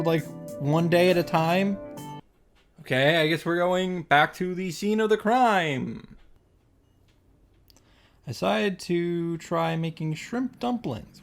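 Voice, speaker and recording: Low-voiced sardonic woman, music behind. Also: a little mellow. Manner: sardonic, profane asides. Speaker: visual novel reaction host. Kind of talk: casual sarcastic commentary and reading aloud over a game.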